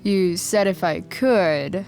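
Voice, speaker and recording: A woman's voice: fairly low pitch, slow, a little mellow. A woman playing a sarcastic, rebellious teenage girl in a video game, with a dry, flippant, slangy way of talking. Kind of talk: video game cutscene acting.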